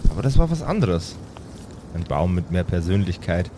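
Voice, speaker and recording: A man's voice: low-pitched, big pitch swings, normal speed, background noise. A man narrating an improvised tabletop adventure as game master, switching between dramatic storytelling and casual asides. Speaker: game master and podcast host.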